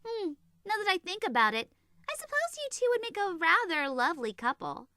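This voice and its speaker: A woman as a bright, high-pitched sarcastic anime sorceress. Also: very animated, fairly quick, dry recording, full character voice. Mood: sly, teasing. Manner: sarcastic, teasing. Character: petite, sarcastic, short-tempered teenage sorceress from an anime. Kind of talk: comedic anime fan audio drama acting.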